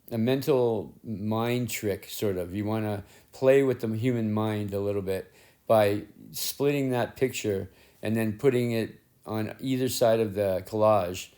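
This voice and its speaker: Middle-aged man, rough raspy voice, lowish pitch, loose rambling artist narration, self-deprecating. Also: mellow. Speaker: collage artist and painter. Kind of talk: casual narration while working. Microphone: head-mounted action camera.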